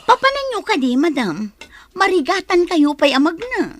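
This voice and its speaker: A woman's voice: very high-pitched, very animated, moderate pace. A woman playing a sassy, easily insulted employee in an audio drama, exclaiming and muttering asides under her breath. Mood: eagerly polite. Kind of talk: Filipino audio drama acting.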